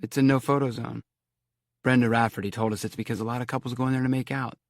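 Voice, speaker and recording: A man's voice: mid-range voice, fairly even intonation, fast talker, no echo, studio quality, natural voice. A man voicing a playful young woman college student who teases her friends. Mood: teasing.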